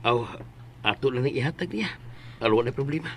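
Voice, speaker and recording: A man's voice: medium pitch, expressive, fairly quick, creaky, fairly bright tone, husky, no echo, heavily acted. An actor playing a poor, protective older father in a Filipino radio drama, earnest, emotional and pleading. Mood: worried. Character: poor, worried, protective older father, gentle but anguished. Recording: music bed behind.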